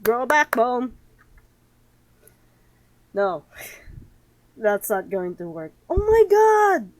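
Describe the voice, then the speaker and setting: A woman game streamer giving playthrough commentary and reading game text aloud, with expressive, sarcastic reactions. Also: on the high side, extremely slow, no room sound.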